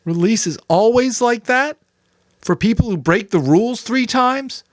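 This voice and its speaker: A man's voice: very high voice, animated, measured pace, no echo, voice pushed up. A man voicing a distraught, bitterly defiant adolescent boy in a dramatic audiobook reading. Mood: anguished accusing. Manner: tearful, sarcastic, spitting out questions.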